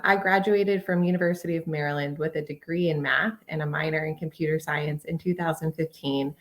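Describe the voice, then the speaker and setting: A woman's voice: deep voice, a bit bright. A woman in her late twenties introducing herself as a panelist on a video call, casual with hesitant fillers. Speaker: panelist, software engineering manager.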